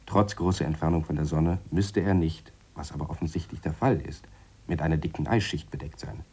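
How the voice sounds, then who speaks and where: An actor playing a deliberate, wry scientist in a radio play, explaining his theories in a measured, dry manner. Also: very deep voice, darkish tone, husky. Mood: earnest, reasoning. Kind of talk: radio play dialogue in a reflective, lecturing tone.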